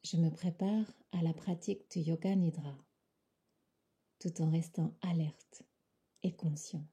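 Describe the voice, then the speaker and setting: Soft, breathy woman guiding deep relaxation. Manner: soft, breathy, often near whisper; calm, soothing, unhurried phrasing. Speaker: yoga nidra guide. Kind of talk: guided relaxation meditation.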